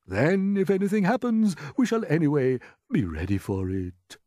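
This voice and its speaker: A man's voice: on the high side, very animated, normal speed, dark tone, no room sound, studio quality, voice pushed up. A man voicing a wise, cautious old male grasshopper in a storybook, courteous and authoritative.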